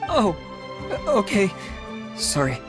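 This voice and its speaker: A meticulous, timid game bureaucrat. He talks haltingly and inarticulately, fussing over precision, with faint music underneath. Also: sits high, sing-song, heavily acted. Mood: nervous. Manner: halting, hesitant, pedantically precise. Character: timid, meticulous, inarticulate male civil servant. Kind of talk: video game voice acting.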